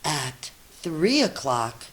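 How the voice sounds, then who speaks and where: A woman teacher reads a story to children at a slow, deliberate pace. Her delivery is expressive and encouraging, moving between narration and simple teaching asides. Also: deep voice, a little hiss or hum. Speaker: teacher reading aloud to children.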